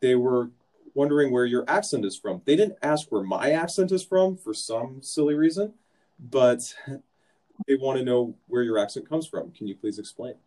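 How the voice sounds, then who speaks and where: A man hosts a casual sports podcast, laid-back and given to jokes. His voice is mellow and warm and sits at an ordinary pitch. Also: animated, moderate pace. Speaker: podcast host.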